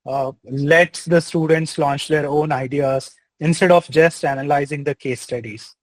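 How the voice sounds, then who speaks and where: Young man, host, somewhat high voice, dry sound, upbeat. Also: fairly even intonation, unhurried, dry recording. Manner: upbeat, enthusiastic questions. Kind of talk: interview hosting, scripted intro.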